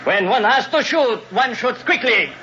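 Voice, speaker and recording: An actor playing a smooth, cold-blooded police captain, talking in a suave, measured way in a 1950s radio drama. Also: male voice, very high-pitched, animated. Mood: urgent. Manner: suave and measured, with French phrases. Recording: dull old radio broadcast with loud hiss.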